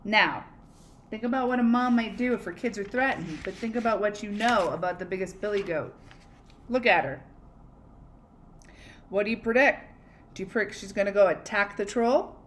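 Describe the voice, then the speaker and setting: Expressive woman reading a children's story. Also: medium pitch. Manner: expressive, conversational, prompting listeners to predict. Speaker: teacher reading aloud to children. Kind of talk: interactive storybook read-aloud with questions.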